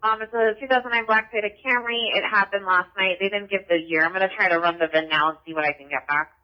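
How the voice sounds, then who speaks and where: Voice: mid-range voice, steady tone, fast talker. A police dispatcher giving terse coded calls in a procedural, clipped way over a radio transmission. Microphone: radio dispatch mic. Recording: muffled lo-fi police scanner radio.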